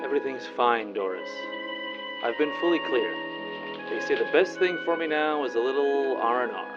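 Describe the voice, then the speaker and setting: An actor playing an indignant, bewildered astronaut in a comedic radio play, given to melodramatic outbursts. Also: male voice, mid-range voice, moderate pace, close to an everyday voice. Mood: uneasy, puzzled. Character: exasperated, paranoid male astronaut, indignant and bewildered. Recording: muffled audio with music behind.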